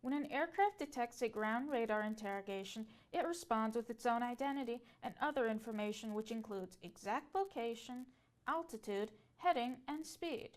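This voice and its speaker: Higher-pitched woman narrating an educational script. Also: expressive, moderate pace. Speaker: presenter.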